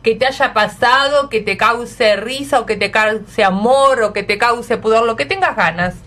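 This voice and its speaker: A woman's voice: fairly high pitch, measured pace, a bit bright. An Argentine woman chatting casually as a radio host during an interview.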